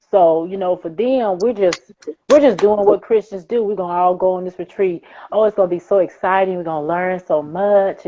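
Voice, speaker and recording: A woman's voice: medium pitch, narrow, tinny audio. A woman speaking as an author guest in a conversational interview, earnest and emphatic, building points through repeated phrases.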